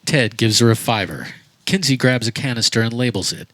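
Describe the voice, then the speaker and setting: A man's voice: mid-range voice, expressive, a little fast, fairly bright tone, no echo. Matter-of-fact male narrator, dry sound. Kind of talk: scripted comedic stage-direction narration. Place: backyard, live performance.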